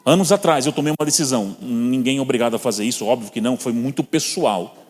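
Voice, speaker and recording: A man with a Brazilian Portuguese accent preaching to a congregation, mixing scripture with personal stories. He speaks at a middle pitch and addresses the listeners directly as brothers. His voice rings with the long reverb of a church hall. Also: fast talker, a little mellow. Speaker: guest preacher.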